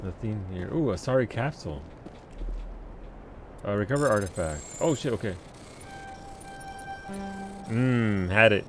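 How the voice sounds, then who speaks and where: Lively low-voiced man streaming a game. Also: unhurried, pauses often. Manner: rambling, chatty, laughs at himself, talks to chat. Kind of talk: casual live gameplay chatter. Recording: game music playing underneath. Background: game music.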